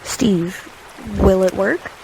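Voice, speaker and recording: A woman playing a composed, practical survivor leader, measured and direct, in an audio drama. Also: mid-range voice, vocal fry, darkish tone, slight background noise, rough recording.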